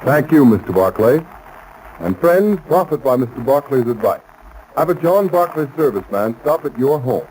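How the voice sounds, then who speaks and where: A man's voice: medium pitch, animated, moderate pace, darkish tone, slight background noise, low-quality recording. An American man announcing a 1930s radio show from a script. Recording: old radio broadcast, music behind.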